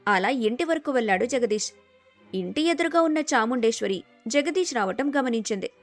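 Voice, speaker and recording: A woman's voice: fairly high pitch, expressive, moderate pace, faint music behind. A woman storyteller reading a scripted story narration.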